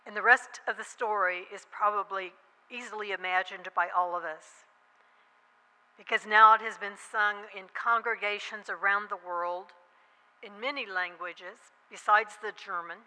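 A woman's voice: medium pitch, steady tone, unhurried, pushed and bright. A woman pastor telling a story in a chatty sermon, breaking in with self-deprecating asides. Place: church sanctuary. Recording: long reverb of a church hall.

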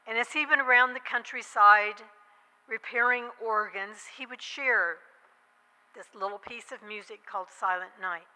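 A woman with a very bright, forceful, somewhat high voice speaks to a church congregation. She tells her story in deliberate short phrases, pausing between them. Her words ring out with the long reverb of a large sanctuary. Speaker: church speaker introducing a hymn. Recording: long echoey hall reverb.

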